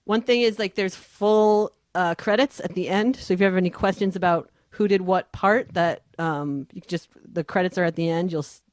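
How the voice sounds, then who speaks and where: A woman moderating a casual online panel, speaking informally and haltingly as the host. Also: on the low side, a little mellow, no echo, studio quality.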